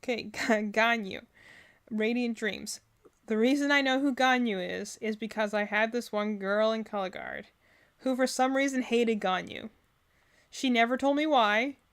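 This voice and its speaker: Female reaction streamer, slightly high-pitched, casual and opinionated, rambles as she thinks out loud. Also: normal speed. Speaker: streamer reacting to game character trailers.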